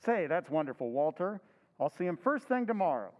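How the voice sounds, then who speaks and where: An actor playing a flustered, excitable henpecked husband in an old-time radio drama recreation. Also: male voice, a little high, brisk, big-room sound, dull audio.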